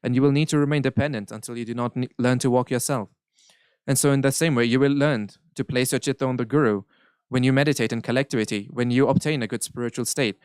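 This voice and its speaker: Smooth-voiced man interpreting. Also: mid-range voice, fairly even intonation, darkish tone, no echo. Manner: measured, earnest, occasional self-corrections. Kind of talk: live interpretation of a spiritual Q&A.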